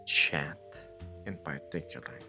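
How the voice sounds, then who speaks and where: A man's voice: rumbling low, takes it slowly, vocal fry, over music. A man from the Philippines hosting a casual livestream, chatting playfully with viewers and laughing often.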